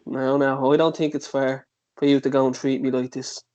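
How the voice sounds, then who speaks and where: An actor playing a belittling, manipulative ex-partner with a condescending, needling manner in a drama table read. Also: male voice, medium pitch, level tone, normal speed, darkish tone, natural voice.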